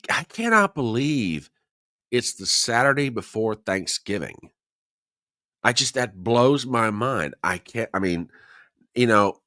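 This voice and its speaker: Chatty man with a lively, bright voice. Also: on the low side, no room sound, studio quality. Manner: chatty, jokey, wisecracking. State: slightly hoarse and nasal from a cold. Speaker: host. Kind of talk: casual livestream chat.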